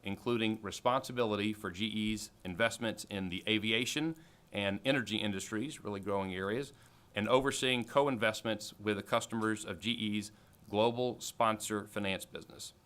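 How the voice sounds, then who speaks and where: A man's voice: on the low side, fairly even intonation, moderate pace, fairly bright tone, smooth. A man in his forties at a podium giving a formal introduction of a guest speaker in a large auditorium, reading from notes with frequent fillers. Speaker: alumni board member introducing speaker. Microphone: podium microphone.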